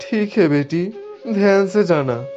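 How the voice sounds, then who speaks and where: A man's voice: sits high, animated, measured pace. Man voicing a despairing poor cartoon father, muffled. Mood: concerned. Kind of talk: animated moral story dialogue. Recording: muffled audio with background music.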